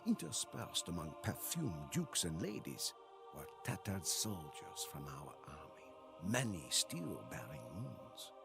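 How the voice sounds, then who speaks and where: An actor playing a weary, devoted French soldier, narrating a war journal for a game in a solemn, dramatic, gravelly voice. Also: male voice, sits low, very animated, exaggerated voice. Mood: reverent. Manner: solemn, dramatic, measured delivery. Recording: loud music under the voice.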